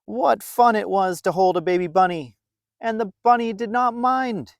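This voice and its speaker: A man reading a story aloud to young children in a playful, expressive way, with dramatic emphasis and drawn-out words. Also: high voice, vocal fry, no room sound.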